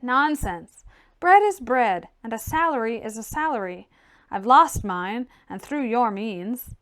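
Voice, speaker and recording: A woman plays a dry, stubborn young clerk of gentlemanly birth in a classic-novel audiobook. The lines are formal and curt with a sardonic edge, in a fairly high voice with lively inflection. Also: unhurried. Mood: indignant. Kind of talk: audiobook character reading.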